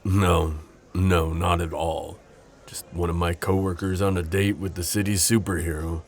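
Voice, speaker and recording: A man's voice: sits low, level tone, moderate pace. A man playing a sardonic, protective civilian coworker with something to hide, in an audio roleplay. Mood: mocking. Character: sardonic, protective male civilian coworker hiding a secret identity.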